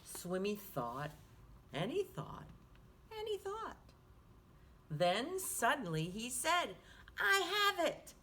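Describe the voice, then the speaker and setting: A woman reads a picture book to young children as a storytime host. Her voice is highish and swoops in a sing-song way, taken slowly, with some background noise. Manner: animated, sing-song, with hushed asides to listeners.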